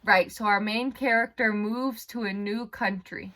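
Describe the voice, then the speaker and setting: A woman's voice: mid-range voice, steady tone, unhurried, fairly bright tone. A woman teacher leading a read-aloud lesson for young pupils, explaining in simple phrases and guiding them with questions. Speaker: elementary school teacher.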